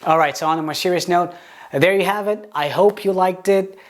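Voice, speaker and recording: A man whose first language is Portuguese, speaking English with a Portuguese accent, teaching pronunciation in a video lesson and demonstrating words slowly. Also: high-pitched. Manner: explains with examples, slow deliberate demonstration words, some stammered restarts.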